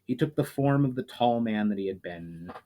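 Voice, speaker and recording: A man reading his own fantasy novel aloud at a measured storytelling pace, sometimes correcting a word. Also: fairly low pitch, animated, warm tone, poor audio.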